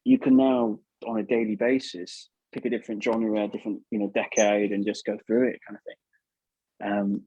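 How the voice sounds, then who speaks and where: A man with an English accent chatting casually and thoughtfully as a guest, in a halting, hedging way. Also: on the low side, expressive, vocal fry, warm tone, husky, no echo, lo-fi sound. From England. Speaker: puppeteer guest. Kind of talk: casual chat.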